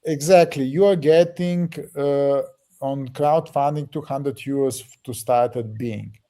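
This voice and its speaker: A man leading a project, explaining it to a group haltingly, with restarts and fillers. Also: mid-range voice, steady tone, mellow. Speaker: project leader answering questions.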